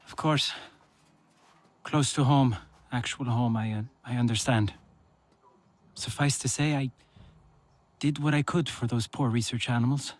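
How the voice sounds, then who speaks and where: An actor playing a gentle, self-deprecating male former wildlife researcher in a video game. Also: medium pitch, fairly even intonation, a little slow, long pauses, natural voice. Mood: rueful.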